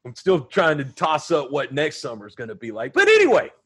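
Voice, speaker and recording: A man hosting a casual film talk with a husky, breathy edge to a somewhat high voice. He is excitable and laughs often. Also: brisk. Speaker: podcast host. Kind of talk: casual chat.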